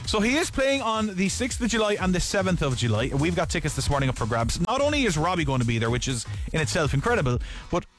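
A man's voice: high voice, sing-song, fast, fairly bright tone, soft background music. A man hosting a breakfast radio show, chatty and jokey as he gives away tickets. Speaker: radio host. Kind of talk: breakfast radio chat and giveaway. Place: radio studio.

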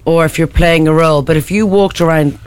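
A woman co-hosting a breakfast radio show, giving frank, quick reactions. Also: low-pitched, no room sound. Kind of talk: casual morning radio chat. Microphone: studio microphone.

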